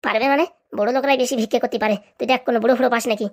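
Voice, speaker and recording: A woman's voice: fairly high pitch, steady tone, very fast. A woman playing a bossy, grumbling crook who runs a begging racket in a comic cartoon, scolding and sarcastic. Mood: angry, demanding.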